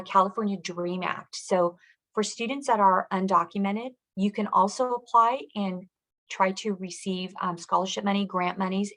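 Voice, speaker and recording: A woman's voice: mid-range voice, fairly bright tone. A woman presenting as a school district director, walking listeners through web resources in an explanatory, instructional way.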